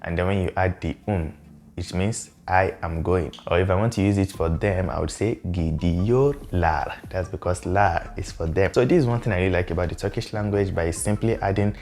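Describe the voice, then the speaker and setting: A young man in his twenties whose first language is Yoruba, explaining things to camera in a relaxed, friendly way and slowing down to spell out words. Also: deep voice, gravelly, faint music behind. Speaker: language vlogger. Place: small indoor room. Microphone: lapel mic.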